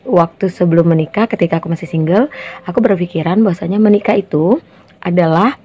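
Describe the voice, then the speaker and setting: Lowish woman, dull lo-fi recording. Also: fast talker. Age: 30s. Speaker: podcast volunteer sharing her views. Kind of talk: personal testimony. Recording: dull, lo-fi voice message.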